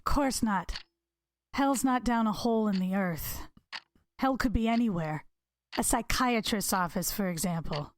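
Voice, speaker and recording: A woman's voice: mid-range voice, a little slow, close to an everyday voice. An actress playing a wounded, guarded woman with a terse, dry, sarcastic manner in a naturalistic audio drama. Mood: defensive, sardonic. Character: guarded, wounded, sardonic woman rebuilding her life. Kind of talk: naturalistic radio drama acting.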